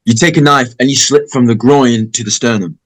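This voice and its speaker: A man playing a crass, excitable high-school boy in a table read of a slasher script. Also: fairly quick, lightly acted. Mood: brash. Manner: animated, brash.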